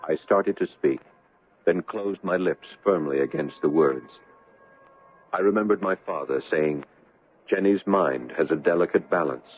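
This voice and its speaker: A man's voice: low-pitched, unhurried, slight background noise. A man playing an earnest, anxious young bridegroom, telling his story in formal, ornate phrases in a 1970s radio drama. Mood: troubled, tender. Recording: muffled vintage radio broadcast.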